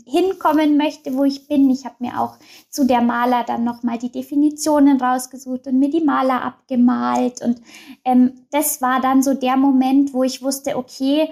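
A young woman with a high-pitched voice talks as an interview guest. She speaks in a casual, open way, full of fillers and restarts. Her voice sits well above the usual range for women. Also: darkish tone. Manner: open, chatty, many fillers and restarts, laughs often.

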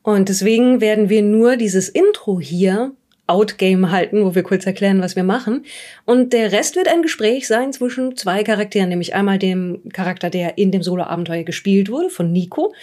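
Medium-pitched woman, casual podcast host. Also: lively intonation, normal speed, mellow. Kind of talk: casual spoken introduction.

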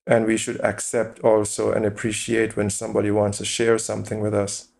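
A man as a podcast guest, calmly explaining his view with examples and rhetorical questions. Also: lowish voice, brisk, vocal fry. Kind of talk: interview conversation.

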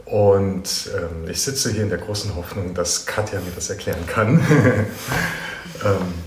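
A man's voice: low-pitched, unhurried. A man hosting a casual interview, informal and tentative as he searches for his words. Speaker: interviewer and host. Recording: roomy echo, audible background noise.

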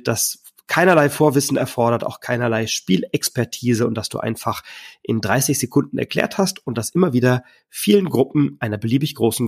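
A man hosting a board game podcast, talking in a chatty, enthusiastic way, hoarse and stuffy from a cold. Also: mid-range voice. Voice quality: husky, gravelly. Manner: chatty, enthusiastic, some filler words. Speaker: podcast host. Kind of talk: casual solo podcast talk.

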